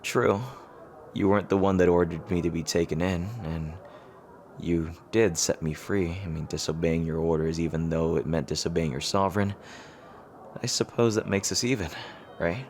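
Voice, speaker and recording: A man playing an earnest, lovestruck rival king in an intimate romantic audio roleplay, speaking haltingly and hesitantly. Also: sits low, steady tone, a little mellow, a little hiss or hum. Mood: relieved, wry.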